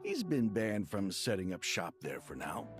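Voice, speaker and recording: A man's voice: fairly low pitch, huge pitch swings, normal speed. A man voicing an amused, gossipy townsman in a video game, wry and easygoing. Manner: wry, teasing. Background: background music.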